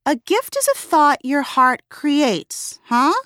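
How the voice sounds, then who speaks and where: A man reading a storybook aloud and voicing a sad, wistful little girl who speaks slowly and wonders aloud. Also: very high-pitched, very animated, pushed and bright, dry recording, clean studio sound, voice pushed up, full character voice.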